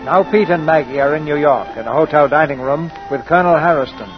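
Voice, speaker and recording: Higher-pitched male 1940s radio narrator. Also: quick pace. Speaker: radio drama host and narrator. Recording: muffled 1940s radio broadcast. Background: orchestral string music.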